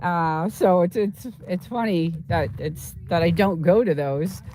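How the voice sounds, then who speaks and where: A woman's voice: lowish voice, measured pace, warm tone, slight background noise. A woman host chatting casually and informally on a livestream, rambling and often repeating herself as she talks to viewers.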